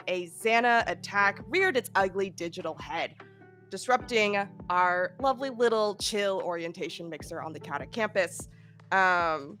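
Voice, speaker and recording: A woman's voice: mid-range voice, a bit bright. Nasal woman game master with a cold. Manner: chatty, giggly, filler-heavy. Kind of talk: narrating a tabletop game recap.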